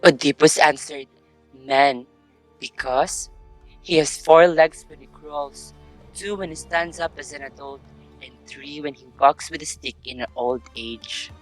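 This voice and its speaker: A man's voice: a little high, unhurried, faint music behind, close to an everyday voice, rough recording. A man playing a grave, reverent townsman and storyteller in a formal, dramatic reading of a Greek tragedy. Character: grave Theban townsman and messenger, pleading and reverent. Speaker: narrator and chorus actor. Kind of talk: staged readers' theatre of Greek tragedy.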